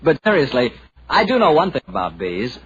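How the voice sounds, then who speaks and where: Animated American man, 1940s radio announcer. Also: a little high, fairly quick, a little hiss or hum. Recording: dull band-limited 1940s radio recording.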